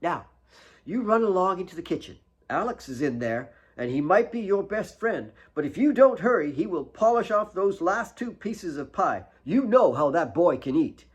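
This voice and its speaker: A man's voice: high voice, sing-song, normal speed, voice pushed up. A man voicing a big, kindly, comforting motherly woman in an audiobook. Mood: jolly coaxing.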